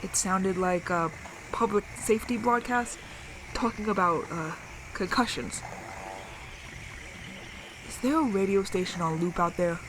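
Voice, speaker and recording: A woman's voice: mid-range voice, a little slow, long pauses, lightly acted. A woman playing a thoughtful adult survivor sending radio messages in an audio drama, musing and hesitant in an understated way. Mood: puzzled, pensive. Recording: clearly noisy radio transmission with ambience.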